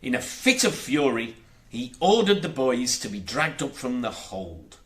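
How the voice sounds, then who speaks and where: A man reading a children's storybook aloud as an audiobook narrator, in a theatrical, expressive way. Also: mid-range voice, unhurried. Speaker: audiobook reader.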